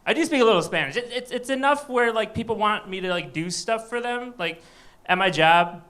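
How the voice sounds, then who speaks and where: A man's voice: high-pitched, animated, fairly quick, a bit bright. A man from Michigan doing a live stand-up set on a comedy club stage, bantering wryly with the crowd. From Michigan, USA. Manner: wry, chatty crowd banter.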